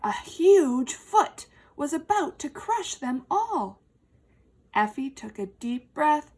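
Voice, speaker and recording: High, very animated woman teacher reading aloud. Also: slow pace. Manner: animated, emphatic, addressing young listeners directly. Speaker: teacher reading to young children. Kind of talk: expressive storybook read-aloud with questions to children.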